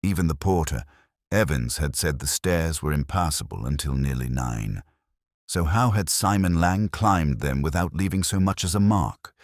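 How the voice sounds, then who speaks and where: An extremely deep male voice , a man acting as a brooding police inspector telling his own mystery story. The delivery is measured and literary, with expressive swings in pitch, recorded close and dry. He sounds reflective and sceptical. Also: dry recording, full character voice. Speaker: narrator. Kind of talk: scripted first-person mystery narration.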